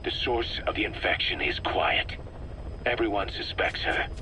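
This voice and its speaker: An actor playing a hard, suspicious, bitter military commander in a video game, speaking in a terse, accusatory way. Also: male voice, lowish voice, lively intonation, measured pace, pushed and bright. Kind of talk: dramatic video game voice acting. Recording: slightly noisy cassette tape recording.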